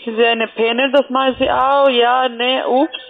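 A non-native woman makes a sales cold call. Her voice is high and comes through thin and tinny, as on a phone line. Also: flat delivery, normal speed. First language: not German. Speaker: call-centre phone caller.

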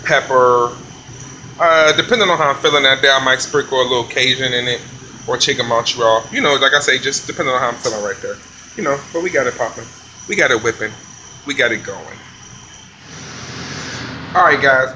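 A man, a chef filming a casual vlog in a commercial kitchen, talking in relaxed slang. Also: medium pitch, slight background noise, rough recording. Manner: laid-back slangy hype talk. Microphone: handheld phone camera.

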